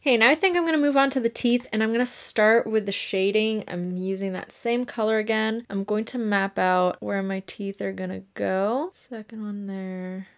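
A woman's voice: mid-range voice, normal speed, hardly any pauses. Chatty young woman narrating her makeup. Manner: chatty, explains each step as she paints. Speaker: makeup artist.

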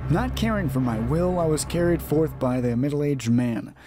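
A man's voice: a little high, animated, moderate pace. A man narrating a horror visual novel as a let's play host, reading aloud and sometimes stumbling and rereading phrases. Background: game music.

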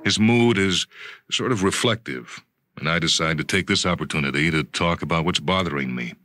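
A man's voice: deep voice, normal speed, bright, forceful tone, no echo. A man narrating a short story in the first person, with a conversational, emphatic delivery.

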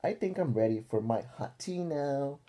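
A man's voice: mid-range voice, lively intonation, normal speed, dark tone, voice pushed up, natural voice. A man voicing a sick, plaintive young boy from a picture book about cartoon animals. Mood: politely asking.

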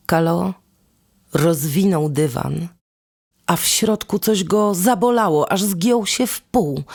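A woman narrating a folk tale as a storyteller, with measured, deliberate phrasing and instrumental music behind her. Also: medium pitch, a little mellow. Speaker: storyteller and host of a podcast series.

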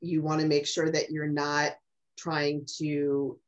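A middle-aged woman with a strong voice explaining things in a measured way as a specialist on a webinar panel. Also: sits low, completely flat delivery. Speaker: speech-language specialist on a panel. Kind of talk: webinar question-and-answer. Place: small office, indoors. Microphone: video call. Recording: dull video-call audio.

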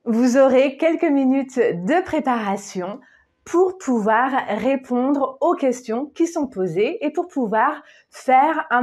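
A woman in her thirties giving a patient, clearly spoken exam-prep lesson, reading a model answer slowly, on a lapel mic in a small plain room. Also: sits high, darkish tone. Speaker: French teacher.

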